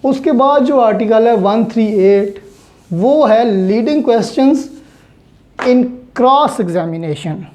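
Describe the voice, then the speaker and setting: A woman's voice: fairly high pitch, lively intonation, takes it slowly, a little mellow, a little hiss or hum. A woman with a Pakistani accent teaches law in an explanatory lecture, mixing in English legal terms and restating key points didactically.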